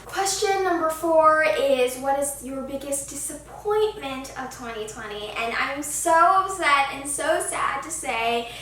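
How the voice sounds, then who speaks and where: A woman's voice: high voice, animated, measured pace, hardly any pauses, fairly bright tone. A woman chatting casually and emphatically to her viewers as a gushing book vlogger. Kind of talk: casual chatty vlog to camera. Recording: very echoey room, distant mic, faint noise.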